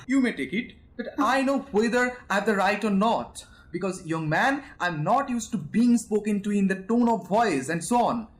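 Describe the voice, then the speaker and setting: A man's voice: high voice, expressive, normal speed, few pauses. A young man, a student actor playing an irascible, condescending older landowner and father in an amateur audio drama. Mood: affronted. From India. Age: young adult. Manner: blustering, condescending.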